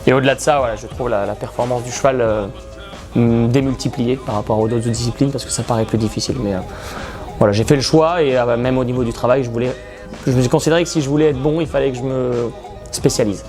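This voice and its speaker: A man in his thirties from France speaks in an earnest, hesitant way, pausing often as he gathers his thoughts. His voice sits in an ordinary middle pitch. Faint music plays quietly behind him. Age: 30s. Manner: earnest, self-described shy, frequent hesitations. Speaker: horse racing commentator. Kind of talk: interview answers.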